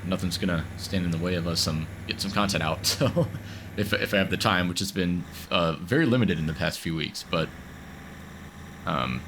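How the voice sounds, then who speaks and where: Deep voiced guy with a cold, rambling thru farm game commentary, um and uh everywhere. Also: noisy background. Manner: rambling, filler-heavy, chatty. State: getting over a cold. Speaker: gaming video host. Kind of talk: casual gameplay commentary.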